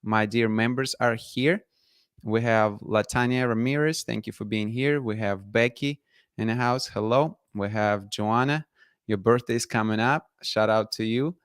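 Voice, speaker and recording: A man's voice: lowish voice, a little slow, clear, resonant tone, no echo, clean studio sound. A man hosting a live online show, upbeat, greeting viewers by name and interviewing a guest over a video call.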